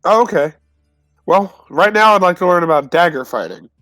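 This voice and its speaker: A man's voice: high voice, expressive, moderate pace, sharp tone, dry recording. Casual man at a game table, faint music. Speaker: player in a tabletop roleplaying game.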